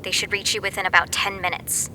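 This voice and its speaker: A woman's voice: mid-range voice, level tone, quick pace, pushed and bright, slight background noise. A woman playing an earnest, inquisitive scout in an audio drama. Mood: focused.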